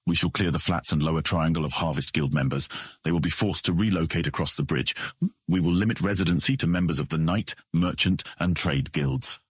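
A man voicing a scheming, self-assured guildmaster with a husky voice and a formal, insistent manner in a fantasy audiobook. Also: a little fast, no room sound, clean studio sound. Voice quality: husky, very deep. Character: scheming, self-assured male guildmaster, uneasy under pressure. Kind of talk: audiobook character acting.

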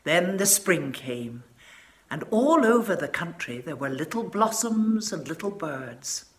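A woman in her sixties reading a children's story aloud in a measured, dramatic way. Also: lowish voice, big pitch swings. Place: furnished living room, indoors.

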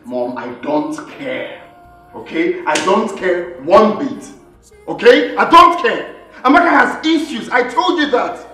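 A man's voice: high-pitched, sing-song, measured pace, a bit bright, faint music behind, full character voice. A man with a Nigerian accent playing a headstrong, indignant prince in a film drama, speaking emphatically and repeating himself. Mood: defiant. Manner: emphatic, repetitive, defiant. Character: headstrong, indignant prince defending his marriage. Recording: roomy echoing film sound.

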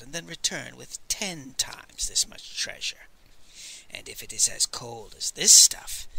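A man voicing an ancient, cunning old male sage in an audiobook, speaking in a hushed, breathy whisper with a sly, drawn-out delivery. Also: mid-range voice, exaggerated voice, rough recording. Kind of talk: audiobook character voice.

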